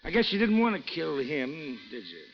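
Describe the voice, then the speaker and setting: Hard-boiled radio detective, muffled recording. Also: male voice, on the high side, wildly swooping pitch, normal speed. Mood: sardonic, menacing. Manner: clipped, needling, menacing. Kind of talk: 1940s-50s radio noir acting. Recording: dull vintage radio recording, faint music.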